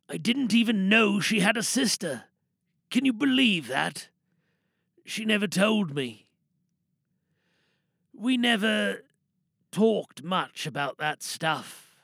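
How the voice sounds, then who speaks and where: A man acting a remorseful, guilt-laden confessor in an audio drama monologue, reflective and halting, with a creaky voice. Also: high voice, animated, bright, forceful tone, dry recording, clean studio sound, full character voice. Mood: remorseful, subdued. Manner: halting, reflective, plain-spoken. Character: remorseful man confessing to having taken a woman's life.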